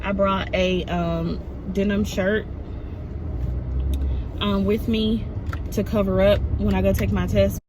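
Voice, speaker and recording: Slightly raspy woman vlogging outdoors. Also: mid-range voice, little pitch movement, slow pace, long pauses. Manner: rambling, many um fillers. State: slightly raspy after coughing. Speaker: nursing student vlogger. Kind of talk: casual vlog chat. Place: outdoors by her car. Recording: loud outdoor traffic noise.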